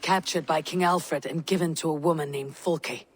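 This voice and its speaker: Low-voiced, commanding Viking warrior woman. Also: steady tone. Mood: grave, commanding. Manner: measured, commanding. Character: stern, confident woman Viking warrior leader. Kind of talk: video game cutscene acting.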